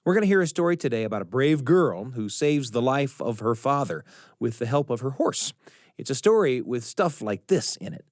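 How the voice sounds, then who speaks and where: A man hosting a radio show, reading scripted introductions with deliberate, emphatic phrasing and dramatic pauses. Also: mid-range voice, very animated, no echo, studio quality.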